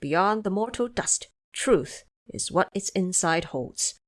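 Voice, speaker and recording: A woman voicing a humble, pious male monk in an audio drama, courteous and measured, with a preaching manner. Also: fairly low pitch, animated. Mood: measured, reciting.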